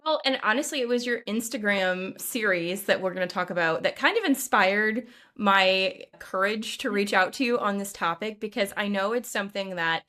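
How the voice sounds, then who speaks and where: A woman hosting a relaxed conversation for fellow teachers. Her pitch sits in a middle range. She speaks eagerly and casually, often trailing into asides. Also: normal speed, hardly any pauses. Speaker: host, English teacher.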